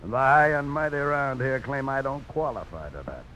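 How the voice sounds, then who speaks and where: A man's voice: mid-range voice, sing-song, fairly quick, sharp tone. An actor in a radio drama playing a sly dive-bar owner with a hearty, folksy salesman's manner. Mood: sly, boastful. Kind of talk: 1950s radio drama acting. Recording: noisy old radio broadcast.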